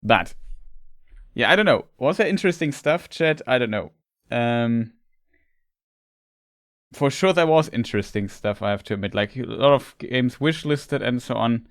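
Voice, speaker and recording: A man's voice: medium pitch, lively intonation, moderate pace, no echo, studio quality. A young man with a German accent, a German native speaker, chatting casually with his stream chat and sometimes searching for words. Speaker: streamer reacting to a showcase.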